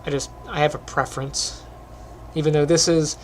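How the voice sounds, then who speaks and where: A middle-aged man who teaches animation, chatting casually while he works, with a phlegmy voice, frequent throat clearing and lots of ums. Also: on the high side, normal speed, slight background noise. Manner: relaxed, rambling, many ums. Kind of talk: casual screen-recorded tutorial chat.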